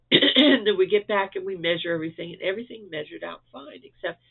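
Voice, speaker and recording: A man's voice: high-pitched, expressive, fairly quick, gravelly, slightly rough. A man from Florida, stuffed up and losing his voice, giving a chatty, rambling update to viewers from home with frequent chuckles. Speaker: crochet vlogger. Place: at home indoors. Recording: tinny phone-like audio.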